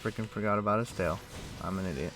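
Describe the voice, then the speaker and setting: A man's voice: lowish voice, fast talker, music in the background. A man livestreaming a video game, casually thinking aloud in short muttered phrases as he plays, speaking into a desk microphone. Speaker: livestream host. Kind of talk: casual gaming commentary, talking to himself while playing.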